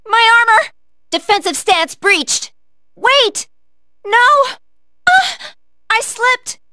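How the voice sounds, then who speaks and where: A woman's voice: extremely high pitch, sharp tone, no echo, studio quality, exaggerated voice. A woman playing an earnest, polite young female android fighter in a video game, calling out clipped, formal battle commands. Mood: alarmed. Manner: clipped, formal machine-like status callouts.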